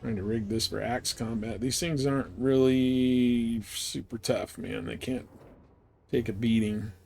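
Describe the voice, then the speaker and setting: A man's voice: medium pitch, steady tone, measured pace, creaky, darkish tone. A man streaming a game, giving casual, laid-back commentary in muttered asides. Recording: faint background noise.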